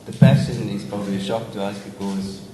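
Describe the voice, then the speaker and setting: A man's voice: on the low side, fairly even intonation, a little fast. A man with a South African accent, a cricket coach answering at a press conference, recorded in a very echoey, noisy room from a distance.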